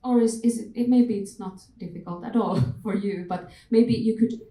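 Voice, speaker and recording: A woman moderating a panel discussion in a thoughtful, halting way, with questions put gently to the speakers. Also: medium pitch. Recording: echoey room.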